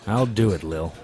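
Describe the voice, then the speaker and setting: Deep, deadpan hard-boiled private eye. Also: male voice, heavily acted. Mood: wry, resigned. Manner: terse, deadpan. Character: hard-boiled male private investigator, weary and wry. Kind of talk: noir audio drama narration and dialogue.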